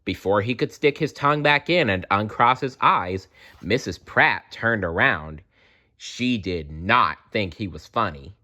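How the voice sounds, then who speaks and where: A man reading a children's book aloud as a storyteller in an animated style. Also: fairly low pitch, a little slow.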